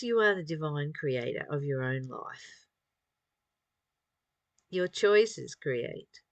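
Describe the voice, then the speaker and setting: Woman doing a spiritual study reading, slow w/ pauses, chatty asides, really dry recording. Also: lowish voice, a bit bright, dry recording. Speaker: spiritual study teacher reading aloud and commenting. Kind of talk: reflective spiritual reading with informal commentary.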